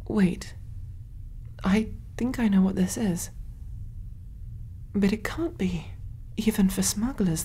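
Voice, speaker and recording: A woman playing a kind, motherly alien surgeon in a soft-spoken ASMR roleplay, gentle and reassuring, breathy and often whispery, musing aloud as she works. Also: mid-range voice. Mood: concerned, puzzled.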